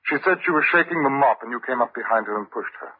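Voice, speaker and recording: An actor playing an exasperated, bewildered English squire and husband in stiff, protesting old-time radio drama style. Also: male voice, mid-range voice, expressive, very fast, breathy edge. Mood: incredulous, alarmed. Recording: muffled old radio broadcast recording.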